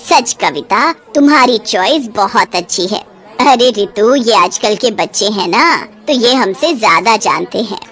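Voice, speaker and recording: A stern but caring older matriarch, acted by a woman narrator, pitched well down from the usual storytelling voice. She talks in a measured, matronly way, as the head of a household in a family story. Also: animated, fairly bright tone. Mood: approving. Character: stern older mother-in-law and aunt, scolding but affectionate.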